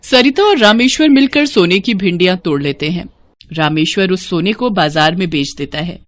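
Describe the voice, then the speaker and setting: A woman's voice: lowish voice, expressive, moderate pace. A woman narrating a scripted moral story as a storyteller.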